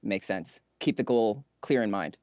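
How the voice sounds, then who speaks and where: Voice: medium pitch, lively intonation, unhurried, darkish tone, dry recording, studio quality. A podcast host chatting casually in an interview, asking questions in an agreeable, inquisitive way.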